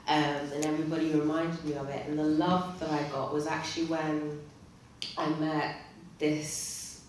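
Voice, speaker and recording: A woman from England speaking on a panel about her own life, measured and thoughtful with frequent fillers. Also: low voice. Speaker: panelist, care leaver. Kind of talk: personal testimony on a panel. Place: conference hall. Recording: echoey hall, far from mic, faint noise.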